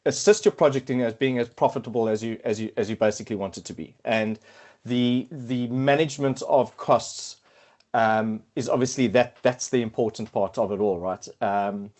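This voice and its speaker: A middle-aged man speaks with a South African accent in a casual podcast chat. His voice sits in an ordinary middle pitch. He hesitates often, repeating words and filling gaps with ums and uhs as he thinks aloud. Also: steady tone, moderate pace, darkish tone. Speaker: podcast guest, quantity surveyor.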